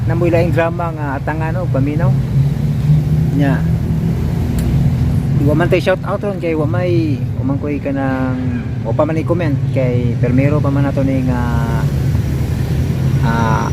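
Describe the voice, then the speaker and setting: A man casually rambling to his listeners as a vlogger, hesitant, often ending phrases with tag questions. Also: a little high. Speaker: vlogger introducing uploaded radio dramas. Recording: loud outdoor traffic and ambient noise.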